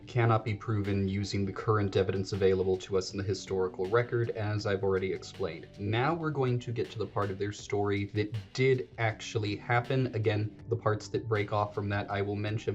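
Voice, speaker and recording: A man's voice: lowish voice, a little mellow, muffled recording. A man hosting an educational podcast, talking through history in a chatty, digressive way and correcting himself as he goes. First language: English. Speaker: podcast host. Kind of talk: casual educational monologue.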